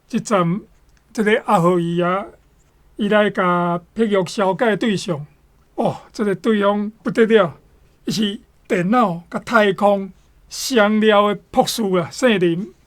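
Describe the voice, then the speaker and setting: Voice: medium pitch, measured pace. A speaker with a Taiwanese accent retelling a story in a conversational style.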